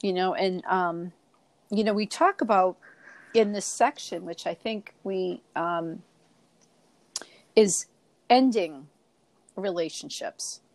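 A woman's voice: on the low side, takes it slowly, long pauses, darkish tone, dry recording. A woman with a Boston accent, co-hosting a reflective spiritual podcast and coaching listeners with repeated rhetorical questions. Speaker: podcast co-host.

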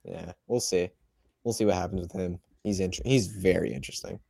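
A man's voice: deep voice, brisk, vocal fry, mellow, no echo, studio quality. A man casually debating player rankings as an analyst on a sports panel. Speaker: fantasy football analyst on a panel.

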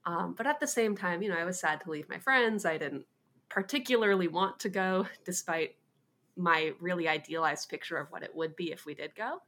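A woman's voice: lowish voice, normal speed, fairly bright tone. A young woman who grew up in Hawaii, chatting as an interview guest in a casual, self-deprecating way with frequent "um"s and "like"s.

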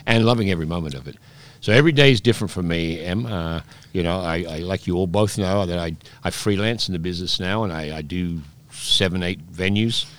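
Deep voiced guy in his sixties, chatty, keeps restarting his sentences. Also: a bit bright. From Scotland, raised in Peru. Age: 60s. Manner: rambling storyteller with many restarts. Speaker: guest, oyster bar operator. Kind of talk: relaxed interview chat.

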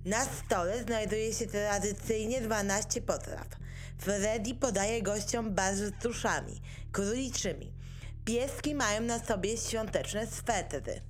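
A woman's voice: mid-range voice, takes it slowly, soft background music. A woman reading scripted narration for a radio play as its narrator.

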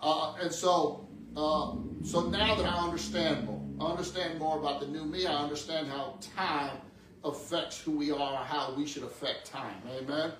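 A man's voice: on the high side, fairly even intonation, moderate pace, noisy background. A man in his sixties preaching a conversational teaching sermon, often repeating words and checking in with amen and okay. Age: 60s. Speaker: pastor preaching. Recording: echoey room, distant microphone.

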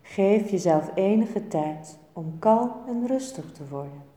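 A woman teaching yoga nidra, giving guided relaxation instructions in a calm, soothing, measured way with pauses between short phrases. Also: mid-range voice. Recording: echoey room with long reverb.

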